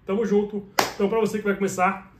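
Chatty Brazilian man, high voice. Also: flat delivery, fast, background noise. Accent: Brazilian Portuguese. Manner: chatty, keeps checking in with "tá?". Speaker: motovlogger. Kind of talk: casual gear tutorial to camera. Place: small bedroom, indoors. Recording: echoey bare room.

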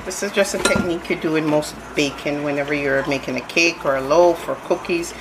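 A woman's voice: low-pitched, steady tone, a little fast. A woman baking at home, talking casually through each step of a recipe with chatty restarts, filmed from above her kitchen counter. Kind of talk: casual cooking demonstration. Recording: loud hand mixer noise.

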